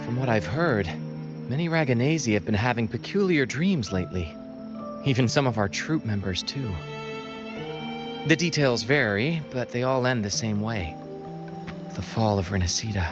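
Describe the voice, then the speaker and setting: A man voicing a game character, a troupe member who explains things in a measured way. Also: mid-range voice, expressive, highs cut off, over music, lightly acted. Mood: serious, concerned. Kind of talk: scripted game dialogue.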